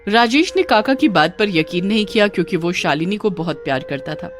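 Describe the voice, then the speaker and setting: Animated woman narrating a moral tale. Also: mid-range voice, brisk, soft background music. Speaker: story narrator.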